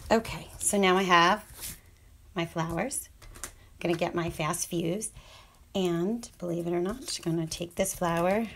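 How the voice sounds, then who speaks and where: A woman's voice: on the low side, a bit bright. A woman with a scratchy voice demonstrating paper crafts, chatty and apologetic, often murmuring half to herself as she works. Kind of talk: casual hands-on craft tutorial.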